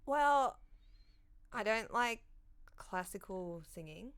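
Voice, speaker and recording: A woman's voice: medium pitch, animated, slow pace, a bit bright. A woman in her thirties from Sydney with an Australian accent, a comedian guest talking casually and self-deprecatingly into a studio stand mic. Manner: self-deprecating, laughs at own jokes. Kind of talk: casual interview chat. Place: dark studio set, seated in armchair. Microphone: studio condenser mic on stand.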